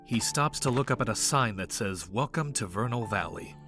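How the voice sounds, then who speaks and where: Male narrator, slightly deep, scripted audio drama storytelling over a music bed. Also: lively intonation, moderate pace. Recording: music under the voice.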